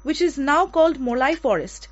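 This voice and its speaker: A woman radio host with an Indian accent speaks in a very high-pitched voice. Her delivery is conversational presenting, addressed to a co-host and listeners. The audio is somewhat dull and lo-fi. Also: animated, normal speed, soft background music.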